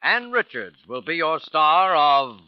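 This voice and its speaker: A man's voice: on the high side, very animated, unhurried. A man narrating and signing off a 1940s radio drama in a formal, dramatic style. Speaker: program announcer. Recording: dull vintage radio recording. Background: music.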